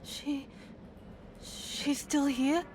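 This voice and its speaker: A slow, frightened female survivor. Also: sits high, fairly even intonation, background noise. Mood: frightened, wary. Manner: hesitant, halting. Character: frightened, shaken female survivor of a captive city. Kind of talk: dramatic video game acting. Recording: dramatic music under the voice.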